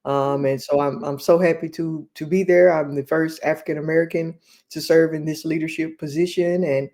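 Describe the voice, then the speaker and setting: A woman answering interview questions in an earnest, measured way. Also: low-pitched, fairly even intonation, warm tone. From Texas. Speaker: guest, athletic director.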